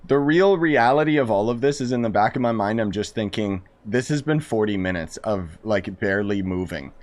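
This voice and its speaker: A man's voice: lowish voice, expressive, normal speed. A man giving casual live-stream game commentary in a slangy, sarcastic, exasperated way.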